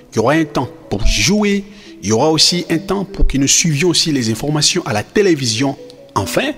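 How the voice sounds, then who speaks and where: A man playing a devout, proud, affectionate father who teaches his children, effusive and encouraging, repeating phrases for emphasis. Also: fairly high pitch.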